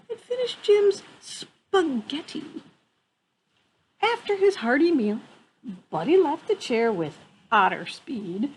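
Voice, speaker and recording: A woman in her fifties reads a picture book aloud in an expressive way, pausing for casual asides about the pictures. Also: sits high, rough recording. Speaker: storyteller reading a picture book to children. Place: outdoor wooden deck among trees. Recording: clear background noise, outdoor ambience.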